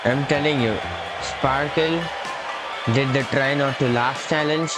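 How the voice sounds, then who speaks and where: A man reacting casually to a video game on stream, talking in loose, broken phrases. Loud game music plays under his voice. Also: mid-range voice, steady tone, unhurried, rough recording.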